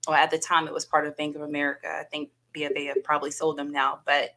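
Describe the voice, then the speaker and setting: A woman with a bright, fairly low voice tells a story at an easy pace. She laughs often and keeps it loose and conversational. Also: little pitch movement, fast. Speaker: guest. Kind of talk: casual chat.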